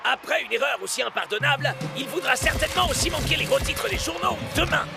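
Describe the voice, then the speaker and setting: A man playing an excitable, mocking football commentator in a cartoon. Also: very high voice, quick pace, gravelly, loud music behind the voice, exaggerated voice. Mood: excited, mocking.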